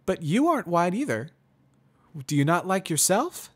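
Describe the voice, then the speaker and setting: Inquisitive, proper female pony for a fantasy story, raised pitch, emphatic delivery male reader acting her out. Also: very animated, moderate pace, breathy edge, heavily acted. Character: polite, inquisitive female pony doctor-like questioner.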